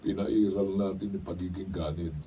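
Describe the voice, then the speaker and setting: A man's voice: deep voice, steady tone, normal speed, distant mic, close to an everyday voice. A man with a Filipino accent playing a domineering, blustering older brother-in-law in a radio drama. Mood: remorseful. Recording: muffled, noisy studio, off-mic.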